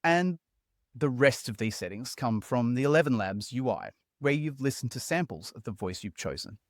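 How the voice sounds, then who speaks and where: Man, tutorial host, upbeat conversational voice-over, animated intonation, dry close sound. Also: medium pitch, normal speed, dry recording.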